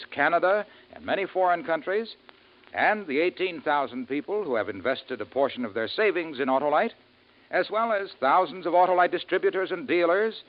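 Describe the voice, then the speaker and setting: A man reading scripted sponsor announcements as a 1950s radio commercial announcer. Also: fairly high pitch. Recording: muffled vintage 1950s radio recording.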